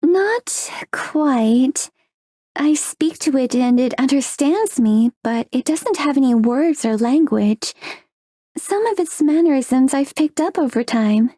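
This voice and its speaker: Gentle young forest ghost: high, mellow voice, soft and formal, visual novel game. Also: female voice, lively intonation, dry recording, clean studio sound. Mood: calm, kindly. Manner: soft-spoken, formal, measured.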